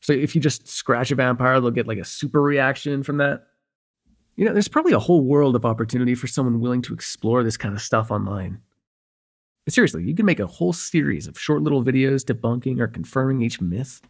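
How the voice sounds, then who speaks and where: Man acting a breathy, cocky young male vampire. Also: mid-range voice, expressive, brisk. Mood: musing, excited. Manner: rambling, playful, slangy, hesitant asides. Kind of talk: immersive ASMR roleplay acting, casual and conversational.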